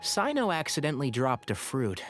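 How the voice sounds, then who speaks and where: An actor playing a gentle, polite young male scholar and old friend in an anime video game. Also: mid-range voice, wildly swooping pitch, normal speed, faint music behind. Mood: fond, wistful.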